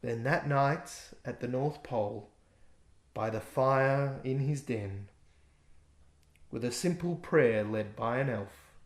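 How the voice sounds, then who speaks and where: A man reading aloud his own rhyming picture book in a gentle, expressive way. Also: mid-range voice, slow. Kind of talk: rhyming storybook narration. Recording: echoey room.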